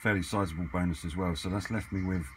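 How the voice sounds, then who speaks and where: A man's voice: sits low, fairly even intonation, fast talker. A man chatting casually and off the cuff, hesitant as he thinks aloud in a hobby vlog. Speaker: hobbyist vlogger. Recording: clearly noisy amateur camera recording.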